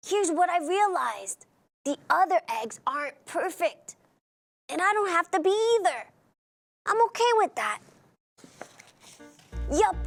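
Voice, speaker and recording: Woman voicing an earnest, high-pitched storybook egg. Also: heavily acted. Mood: content. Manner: expressive, deliberate storybook delivery. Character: earnest, eager-to-please talking egg, a worrying perfectionist. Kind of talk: scripted children's read-aloud.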